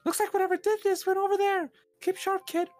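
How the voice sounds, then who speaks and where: A man voicing a gruff, streetwise male agent who bosses his young partner around. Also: extremely high pitch, flat delivery, fairly quick, a little mellow, soft background music. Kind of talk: improvised game voice acting.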